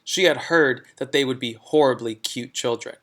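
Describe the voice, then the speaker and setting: A man's voice: medium pitch, moderate pace, fairly bright tone. A man reading a children's story aloud in a scripted narration style. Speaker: storybook reader.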